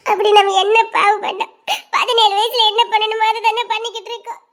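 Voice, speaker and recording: A woman's voice: very high-pitched, flat delivery, fast talker, a bit bright. A woman voicing a distraught seventeen-year-old village girl in a cartoon melodrama, plaintive and emotional, with a southern Tamil Nadu accent. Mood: aggrieved, pleading.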